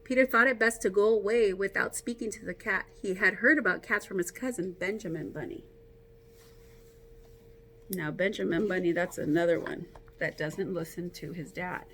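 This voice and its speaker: A woman teacher reading a story aloud to children, expressive and encouraging, with casual asides to her young listeners. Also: medium pitch, moderate pace, slight background noise.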